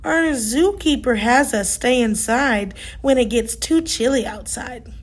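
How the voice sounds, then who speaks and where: Woman playing an eager, high-voiced little girl hippo. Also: measured pace. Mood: thoughtful. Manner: childlike, exclaiming. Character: young female baby hippo, eager, worried, sweet.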